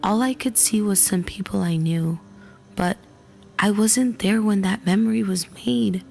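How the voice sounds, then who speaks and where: A woman voicing a troubled female android in an audio drama, hesitant and subdued, with a husky, creaky voice. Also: mid-range voice, measured pace, darkish tone. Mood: uncertain, troubled.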